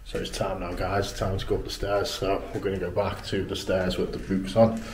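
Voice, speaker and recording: Deep-voiced explorer in echoey halls. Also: male voice, little pitch movement, a little fast. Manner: casual, addresses viewers as guys, awed exclamations. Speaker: urban explorer vlogger. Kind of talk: handheld exploration commentary. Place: abandoned school corridors, indoors. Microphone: handheld camera mic. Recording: echoey empty rooms with faint hiss.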